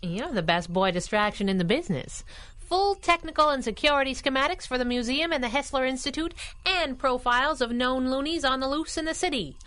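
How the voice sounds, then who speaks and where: An actress playing a cheeky, breezy crime-fighting sidekick in a 1930s-style radio drama, casual and wisecracking. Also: female voice, high voice, animated, a little fast, fairly bright tone.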